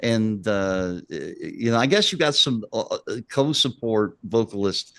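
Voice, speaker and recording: A man's voice: on the low side, expressive, measured pace, creaky, fairly bright tone, dry recording, studio quality. A man interviewing a band, casually encouraging and often calling guests "man". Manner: affirming, says "man" often.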